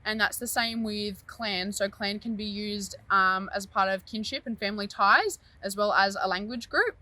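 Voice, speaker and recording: A young Australian woman answering interview questions in a measured, patient way. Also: medium pitch, pushed and bright. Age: young adult.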